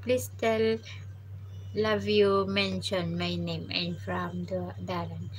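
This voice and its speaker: A woman's voice: fairly low pitch, little pitch movement, slow pace, slight background noise, low-quality recording. A young Filipino woman with a Filipino accent chatting playfully on a live stream, mixing English with Tagalog. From Philippines. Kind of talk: casual live-stream chat. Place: abroad, working in the Gulf.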